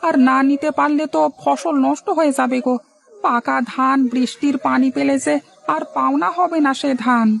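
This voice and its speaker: A fretful village farmer, acted by a woman, with a high voice and a lot of creak. He is a plaintive, hard-working cartoon husband who repeats himself anxiously. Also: fairly even intonation, normal speed. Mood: distressed. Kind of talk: cartoon moral-story dialogue.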